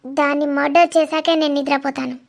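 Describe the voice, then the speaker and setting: A woman's voice: sits high, steady tone, a little fast, creaky. A woman playing a ruthless, scheming mother who is possessive of her son and makes cold, menacing threats. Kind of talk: melodramatic audio drama.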